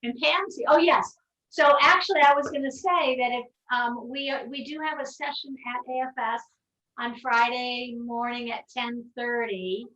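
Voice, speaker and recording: A woman's voice: sits high, steady tone, moderate pace, sharp tone. Chatty woman moderator, echoey distant audio. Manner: chatty, many fillers. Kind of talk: moderating a live-streamed panel.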